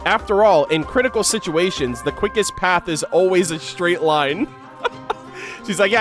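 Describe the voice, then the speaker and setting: A man with a notably high voice reads game lore aloud as a reaction host. His delivery is expressive and moves between narration and casual, slangy commentary. Music plays behind him.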